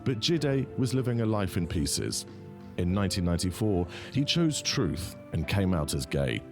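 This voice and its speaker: A man hosting a podcast, reading a scripted introduction and then asking measured interview questions. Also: deep voice, very animated, over music. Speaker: podcast host.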